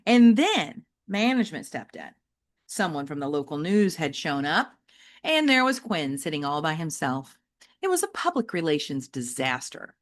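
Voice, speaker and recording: Female children's book narrator, slightly low register, highly animated, expressive storytelling with varied pace. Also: normal speed. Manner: animated, dramatic storyteller delivery with varied pacing. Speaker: teacher reading a novel aloud.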